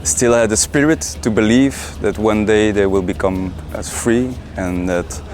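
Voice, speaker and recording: A man's voice: on the low side, unhurried. A man from Belgium, speaking casually to camera as a tour participant. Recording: music behind the voice.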